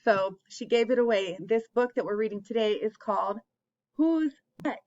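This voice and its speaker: A woman's voice: fairly high pitch, normal speed, a little mellow, muffled recording. A woman hosting an interactive picture-book read-aloud for young children, asking them questions and encouraging them as she goes.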